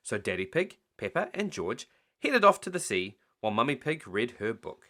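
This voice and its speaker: A man reading a picture book aloud as a bedtime story, slow and expressive. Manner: slow, expressive reading with lively swings of pitch.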